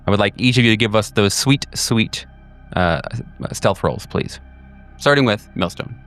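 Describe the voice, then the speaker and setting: A man with a fairly low, resonant voice runs a tabletop horror game. He narrates in pieces, pausing between phrases. Music plays softly behind him. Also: lively intonation, clean tone. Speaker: game master and host. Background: background music.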